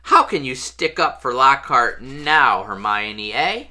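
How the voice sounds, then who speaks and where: A cheeky storybook schoolboy, voiced by a narrator as the excitable best friend. He is teasing and blunt, with a bouncy pitch and very bright tone. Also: slow pace, full character voice.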